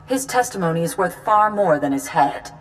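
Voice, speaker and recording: An actress playing a composed military commander in a video game, formal, measured and authoritative. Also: female voice, fairly low pitch, lively intonation, close to an everyday voice. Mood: firm command. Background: game music.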